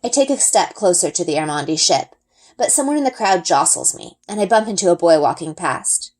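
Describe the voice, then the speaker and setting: A woman narrating a children's book as an expressive read-aloud. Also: on the low side, a little fast. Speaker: story reader.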